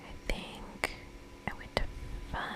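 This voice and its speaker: A woman speaks in a steady, breathy whisper, reading a video game aloud for ASMR. Her delivery is playful, slipping between narration and small asides. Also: slow pace.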